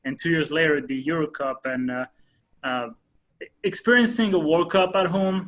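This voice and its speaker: A man in his thirties from France, a soccer coach answering interview questions in a hesitant way with many pauses and fillers. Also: on the high side, animated, a bit bright.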